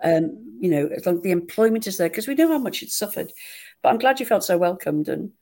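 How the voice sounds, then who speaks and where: A woman, an actress chatting in an interview and telling lively, self-mocking stories. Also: mid-range voice, fast talker. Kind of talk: conversational interview.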